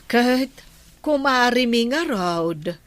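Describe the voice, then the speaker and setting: A woman acting a kindly, agreeable village woman in an Ilocano radio comedy drama, with an Ilocano accent and drawn-out interjections. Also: fairly high pitch, expressive, a bit bright. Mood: gossipy, sympathetic. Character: kindly, agreeable village woman who settles her debt.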